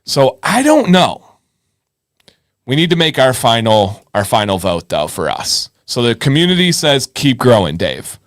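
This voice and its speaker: A man's voice: mid-range voice, wildly swooping pitch, unhurried, no room sound, studio quality. A man hosting a live advice show in casual chat, informal and encouraging, thinking aloud and repeating himself.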